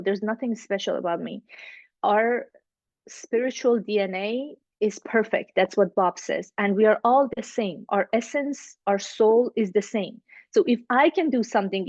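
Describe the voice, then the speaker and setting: A woman's voice: medium pitch, no echo, highs cut off. A woman from the Middle East, English not her first language, speaking as a mindset coach in an online networking circle, earnest and motivational, repeating phrases for emphasis. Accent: Middle Eastern. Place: home, video call. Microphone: video call.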